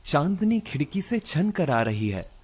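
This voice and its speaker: A man's voice: a little high, very animated, measured pace, noisy background. A man narrating a scripted children's story. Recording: loud music bed behind voice.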